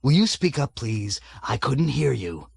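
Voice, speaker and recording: A man voicing a bloated, blustering army colonel who roars and hectors in a raised, loud voice. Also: mid-range voice, sing-song, unhurried, vocal fry, breathy edge, no room sound, full character voice. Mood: bellowing, bullying. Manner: roaring, hectoring, sarcastic. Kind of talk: audiobook character voice.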